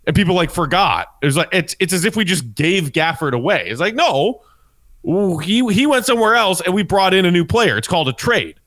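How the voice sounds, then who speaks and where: A man's voice: high voice, very animated, a little fast, fairly bright tone. A man co-hosting a sports podcast, casually explaining his analysis as he thinks aloud.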